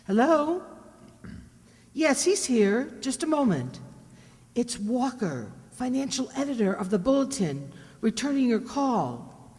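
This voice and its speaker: An actress playing a loyal, inquisitive, level-headed detective's assistant in a radio drama, expressive and full of questions. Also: female voice, a little high, slow pace. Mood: curious, subdued. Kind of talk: old-time radio detective drama acting. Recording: reverberant hall, noisy ambience.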